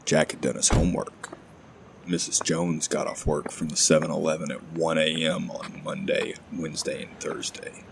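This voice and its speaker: A man narrating a scripted horror story in a gravelly, ominous, measured way. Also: a bass voice, little pitch movement, background noise.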